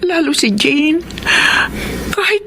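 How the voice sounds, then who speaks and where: A woman's voice: sits high, big pitch swings, slow pace, fairly bright tone, exaggerated voice. A woman playing a humble, guilt-ridden middle-aged mother in a melodrama, pleading hesitantly in a Filipino accent. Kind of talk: melodramatic radio drama acting. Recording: noticeable background ambience noise.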